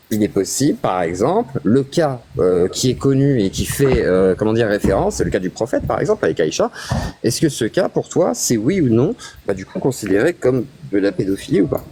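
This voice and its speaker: A man's voice: medium pitch, very animated, brisk, dark tone, slight background noise. A man hosting an online debate, asking probing questions hesitantly, with many fillers.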